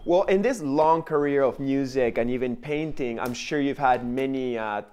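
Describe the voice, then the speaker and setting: A man's voice: medium pitch, moderate pace, a little mellow, clean tone. A man interviewing a guest, attentive and encouraging, with frequent short backchannels. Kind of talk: interview conversation.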